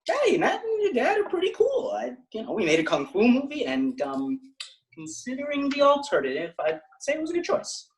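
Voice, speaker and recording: Guy doing a dry teen boy best friend in a sitcom, deadpan but pitch moves around a lot. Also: fairly quick, exaggerated voice. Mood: wry. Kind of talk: script table read.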